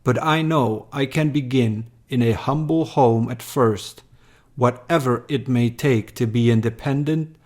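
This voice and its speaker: A man reciting a scripted poem in a measured, deliberate way. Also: mid-range voice, a little mellow. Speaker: poem reader.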